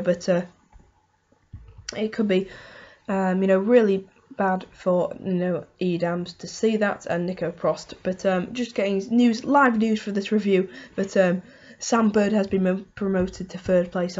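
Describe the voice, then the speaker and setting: A woman with a hoarse voice from a cold, hosting a casual motorsport race review and rambling through it with lots of "um" and "you know". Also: mid-range voice, darkish tone, dull audio. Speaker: motorsport video host.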